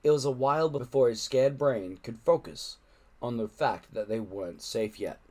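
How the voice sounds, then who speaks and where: A man reading a children's adventure book aloud in an expressive narrating style. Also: medium pitch, moderate pace. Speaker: audiobook-style reader.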